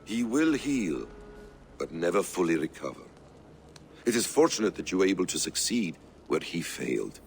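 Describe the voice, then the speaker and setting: A man playing a calm, secretive master assassin in a video game, speaking in a measured, cryptic, instructive way. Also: low-pitched, creaky, a little hiss or hum, heavily acted. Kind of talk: video game dramatic acting.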